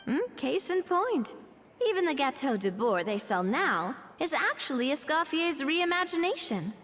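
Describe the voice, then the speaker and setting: An upbeat young heroine, high and lively in her melody, reassuring her friends with a light teasing edge. Her lines echo with long reverb and faint background ambience. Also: normal speed, soft background music. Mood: encouraging.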